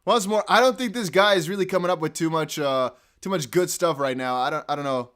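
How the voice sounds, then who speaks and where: A man with a Dutch accent, a native Dutch speaker, giving chatty, excitable live commentary on a court hearing. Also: fairly high pitch, fairly quick. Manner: excitable, chatty, laughs often. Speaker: crypto news streamer.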